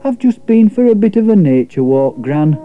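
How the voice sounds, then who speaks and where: A man's voice: sits high, very animated, normal speed, soft background music, pitched above the performer's own voice. A man voicing a modest young boy in a storybook, matter-of-fact. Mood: plain. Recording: tinny phone-like radio sound.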